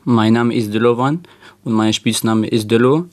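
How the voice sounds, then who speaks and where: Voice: medium pitch, fairly even intonation, moderate pace, darkish tone. A young speaker from Syria telling personal anecdotes casually as a youth radio participant.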